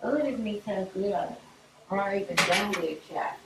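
A woman's voice: mid-range voice, normal speed, creaky. A young American woman chatting casually and sassily as she hosts a home cooking vlog. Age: young adult. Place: home kitchen, indoors. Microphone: far camera microphone. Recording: roomy, distant, noisy kitchen recording.

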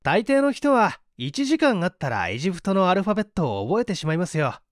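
Male reader as learned male detective, didactic and assured, high lively voice, mystery audiobook. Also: fairly quick, no echo, clean studio sound. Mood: assured, explaining. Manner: didactic, articulate. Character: male detective scientist, assured, patiently explaining his deductions. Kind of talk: dramatised audiobook reading.

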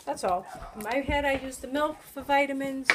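Brief: middle-aged New England woman, slightly high voice, casual kitchen demo on a phone camera, noisy room. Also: normal speed. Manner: chatty, coaxing, talks while kneading dough by hand. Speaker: home cook showing bread making. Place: home kitchen with children around. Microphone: phone camera held by another person. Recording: noisy home video.

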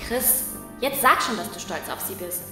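A woman's voice: mid-range voice, animated, moderate pace, close to an everyday voice. A woman playing a sensible, assertive young girl detective in a children's audio drama. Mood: teasing. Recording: very echoey, distant, loud music.

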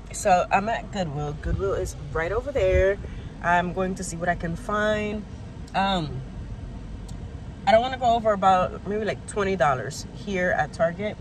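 A woman's voice: medium pitch, low-quality recording. A young woman with an American accent talks in a casual, chatty vlog style. She is recorded on a phone held at arm's length inside a car. There is faint noise behind her voice. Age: young adult. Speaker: vlogger. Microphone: handheld phone at arm's length.

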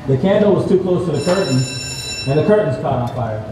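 Man acting as friendly explainer puppet, instructive, slightly high male voice, distant reverberant mall recording. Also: lively intonation, brisk, close to an everyday voice. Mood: storytelling. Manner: instructive, asking leading questions. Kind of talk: live children's fire safety puppet show. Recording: echoey mall, distant mic, audience noise.

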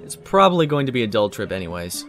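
Man acting a low-voiced courteous young man. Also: sing-song, quick pace, over music. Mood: relaxed, businesslike. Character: courteous, earnest young male master. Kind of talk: audio drama acting.

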